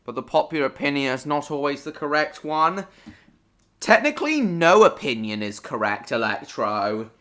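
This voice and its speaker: Bright-voiced male live streamer. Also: a little high, sing-song, moderate pace. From Hong Kong. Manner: answers viewers by name, rambling and repeating himself; bursts into swearing at game mishaps. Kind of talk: casual live-stream chat while gaming.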